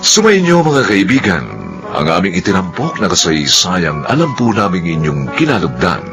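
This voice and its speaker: A man's voice: sits low, vocal fry. A man announcing radio drama credits in a formal, theatrical, scripted style. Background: music.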